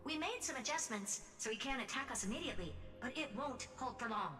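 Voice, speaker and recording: An actress playing a haughty, impatient genius scientist in a video game, brisk and commanding. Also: female voice, high voice, expressive. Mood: impatient, matter-of-fact. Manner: brisk, commanding, self-assured. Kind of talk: scripted game voice acting. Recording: distant echoing transmission under music.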